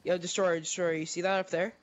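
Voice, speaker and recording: A young man, a streamer recovering from a cold, chatting casually and haltingly while he plays, with lots of fillers and restarts. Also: high voice, level tone, dull audio, a little hiss or hum. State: sniffly, getting over a cold. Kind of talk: casual gaming chat.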